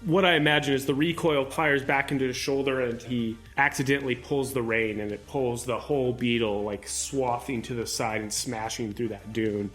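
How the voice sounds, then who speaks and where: A man's voice: medium pitch, steady tone, normal speed, fairly bright tone. A man chatting casually as a player in a tabletop role-playing game. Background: background music.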